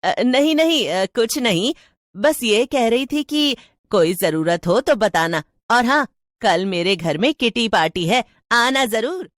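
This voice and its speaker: Woman doing a high, nosy gossiping housewife. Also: animated, takes it slowly, dry recording, clean studio sound. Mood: curious, flustered. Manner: eager, prying, chatty. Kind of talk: Hindi cartoon storytelling.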